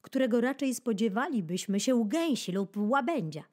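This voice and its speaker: A woman narrating a children's nature book in an expressive audiobook style, with playful, dramatic pauses and emphasis. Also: on the high side, heavy background noise. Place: studio. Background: keyboard music.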